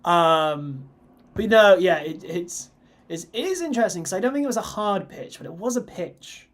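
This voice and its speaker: A man co-hosting a casual chat, talking in a relaxed, rambling way. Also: high voice, moderate pace. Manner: relaxed, rambling, with restarts and laughs. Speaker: co-host.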